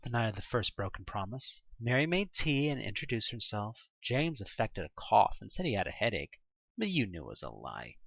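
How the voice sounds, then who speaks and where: Expressive, bright-voiced narrator with swinging pitch. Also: dry recording, lo-fi sound. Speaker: audio play narrator. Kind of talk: dramatic scripted narration of an interactive horror story in second person.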